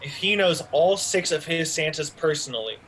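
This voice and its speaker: A man's voice: a little high, animated, moderate pace, fairly bright tone, a little hiss or hum, rough recording. A man playing a methodical police officer who reasons in a businesslike way. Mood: deductive, intent. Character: methodical male police officer working a case.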